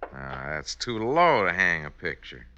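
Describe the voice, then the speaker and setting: Folksy cowboy outlaw, lowish but real sharp edge, country drawl, old radio western. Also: male voice, very animated, measured pace, dull audio, a little hiss or hum, exaggerated voice. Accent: rural American Western drawl. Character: slow, folksy male Western outlaw sidekick. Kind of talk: 1950s radio drama acting.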